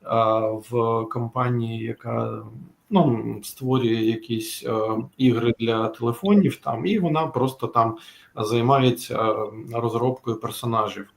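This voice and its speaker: A middle-aged or older man, a guest author, chatting casually in a halting manner. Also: mid-range voice, vocal fry. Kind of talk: casual storytelling.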